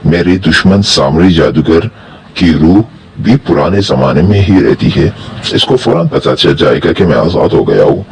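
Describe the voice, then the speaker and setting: A man's voice: very deep voice, lively intonation, moderate pace, gravelly, a little mellow, breathy edge, exaggerated voice. A man playing a grateful, solemn jinn in a dramatized children's story, with formal, emphatic speech. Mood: worried, grave.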